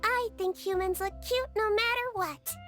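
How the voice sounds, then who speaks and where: A woman voicing a small, sweet young female melusine nurse in a video game, with a childlike voice and a gentle, earnest, reassuring manner. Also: very high voice, normal speed. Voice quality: childlike, girlish. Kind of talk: video game character voice lines. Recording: studio voice over game music.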